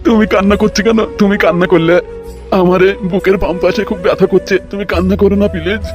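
A man's voice: sits high, steady tone, fast talker, natural voice. A man voicing a bossy, flirtatious local politician in a comic cartoon, often repeating his words in a gushing way. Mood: anxious, coaxing. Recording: loud music behind the voice.

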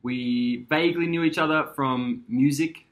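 A man's voice: medium pitch, measured pace. An Australian man in his thirties, a musician guest chatting casually with many fillers while he rambles, recorded indoors by a window on a camera at arm's length. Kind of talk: casual chat.

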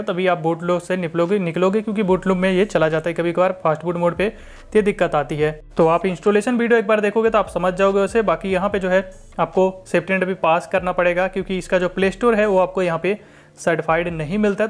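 A young man with an Indian accent, narrating a hands-on tech review in an informal, explanatory way. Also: sits high. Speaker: tech reviewer, channel host.

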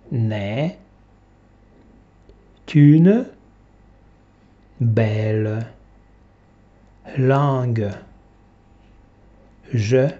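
Guy reading french textbook word by word, super slow, dark mellow voice, dull lo-fi sound. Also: mid-range voice. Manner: reads each word separately with long pauses. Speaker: French teacher. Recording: dull lo-fi recording.

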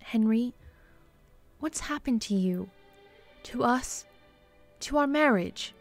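Actress as a wistful, high-voiced wife. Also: female voice, expressive, slow pace, soft background music. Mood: pleading. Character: kindly, wistful, long-suffering bishop's wife. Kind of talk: period radio-drama acting.

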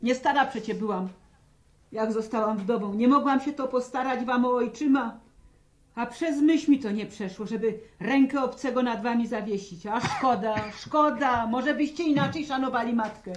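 A woman's voice: a little high, normal speed, close to an everyday voice. An actress playing a domineering, embittered widowed village mother in a radio serial, reproachful and melodramatic. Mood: bitter. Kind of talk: Polish radio serial acting.

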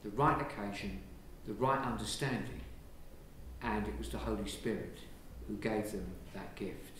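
An Englishman, a priest, giving a lively, conversational sermon from the pulpit of a large church, filmed from a distance. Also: fairly low pitch, moderate pace. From England. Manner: lively, conversational preaching with gestures. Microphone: far camera. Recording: very echoey church from far off, faint hiss.

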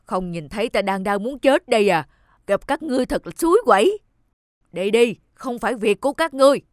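A woman voicing a grumpy, thieving male dwarf in an animated fairy tale, with a rude, impatient manner. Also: fairly high pitch, fairly quick, no echo, clean studio sound, natural voice. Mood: irritated, scolding. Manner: rude, impatient, snappish, cowardly when threatened. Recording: music under the voice.

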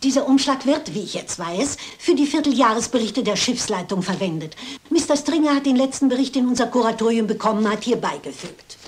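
A woman's voice: a little high, animated, fairly quick, slight background noise, close to an everyday voice. An actress playing a shrewd elderly lady detective in a German crime drama, speaking precisely and with polite insistence. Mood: assured, explaining. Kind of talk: German dubbed crime film acting.

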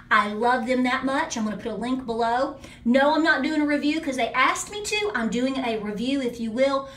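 A woman's voice: high-pitched, bright, forceful tone, slight background noise. Chatty woman in an echoey bathroom. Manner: chatty, emphatic, talks straight to viewers. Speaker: homesteading channel host. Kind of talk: casual product review vlog. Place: small bathroom indoors.

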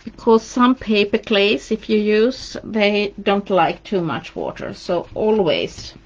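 A woman's voice: mid-range voice, animated, slow, highs cut off. A woman with a Swedish accent, a Swedish native speaker, giving a live craft tutorial and chatting encouragingly while she paints. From Sweden. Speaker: craft demonstrator. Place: indoors at a craft table.

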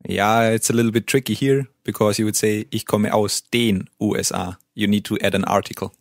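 German native male teacher, low voice, patient, dry studio sound. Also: steady tone, brisk, gravelly, no echo. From German-speaking. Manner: patient, models pronunciation. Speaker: language teacher. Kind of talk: language lesson dialogue.

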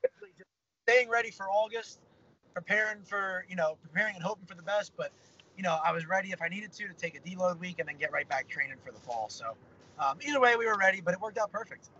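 Fast-talking young man in a car. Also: high voice, sharp tone. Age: young adult. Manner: upbeat, rambling, lots of fillers. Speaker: pro fighter interviewee. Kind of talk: casual video-call interview. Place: inside a parked car. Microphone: phone camera on a video call. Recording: slightly dull video-call audio with faint car hum.

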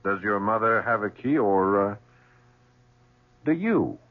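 An actor playing a shrewd police lieutenant in a 1970s radio drama, with a probing, insinuating manner. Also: male voice, lowish voice, expressive, takes it slowly, narrow, tinny audio. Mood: needling.

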